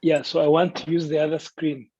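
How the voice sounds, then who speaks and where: Husky man with a mellow, higher voice. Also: flat delivery, fairly quick, no room sound. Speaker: guest presenter pitching a city. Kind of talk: semi-prepared presentation. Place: online video call. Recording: dull, lo-fi online call audio.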